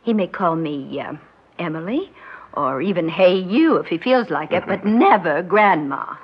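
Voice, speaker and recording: A woman's voice: fairly low pitch, expressive, measured pace, slightly rough. An actress in an old radio drama playing a vain, proud middle-aged grandmother who frets about growing old. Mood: indignant, amused. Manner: proud, fretful, theatrical. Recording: muffled old radio recording.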